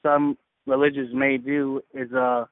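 A man shares reflective thoughts as a caller, choosing his words haltingly and earnestly. His mid-pitched voice comes through a narrow, radio-like phone connection with no room sound around it. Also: level tone, no echo. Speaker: caller on a faith community call. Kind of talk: casual, reflective personal sharing.

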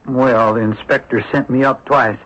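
An actor playing a nervous, folksy small-time ex-convict who is eager to please, speaking colloquially in a 1970s radio drama. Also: male voice, mid-range voice, steady tone, moderate pace, fairly bright tone, lightly acted. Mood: nervous, rueful. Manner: colloquial, ungrammatical speech. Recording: dull 1970s radio broadcast recording.

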